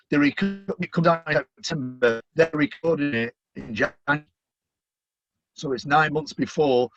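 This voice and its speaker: A man's voice: a little high, dry recording. A middle-aged man with a Manchester accent, chatting upbeat as an interview guest, full of "you know" fillers. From Manchester, England. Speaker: guest, stadium announcer. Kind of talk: casual interview chat. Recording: dull, dry lo-fi audio.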